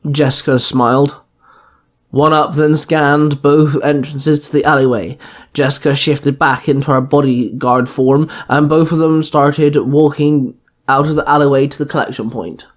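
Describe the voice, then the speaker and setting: A man's voice: fairly high pitch, steady tone, a little slow. A man reading scripted fan fiction narration as the narrator of a story podcast.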